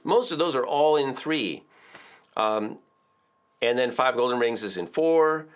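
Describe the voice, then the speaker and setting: A middle-aged man giving a relaxed ukulele lesson to camera in an indoor studio, chatty and full of filler words. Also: medium pitch, measured pace, smooth, faint music behind. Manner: chatty, explains with filler words and counts aloud. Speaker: ukulele teacher. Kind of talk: relaxed video tutorial.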